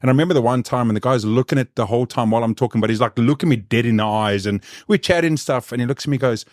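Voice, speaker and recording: A man with a mid-pitched voice and a South African accent that has grown softer, hosting a casual podcast chat. He talks in a frank, rambling way, with swearing and frequent restarts. The sound is close and dry. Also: rapid-fire, no room sound. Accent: South African, softened by living in New Zealand. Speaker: podcast host. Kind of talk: casual conversational storytelling.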